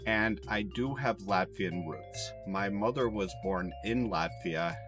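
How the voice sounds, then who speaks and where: A man's voice: on the low side, takes it slowly. A middle-aged American man from Chicago, a former banker, explaining his story earnestly in an interview. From Chicago, USA. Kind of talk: interview and walking tour. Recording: music playing under the voice.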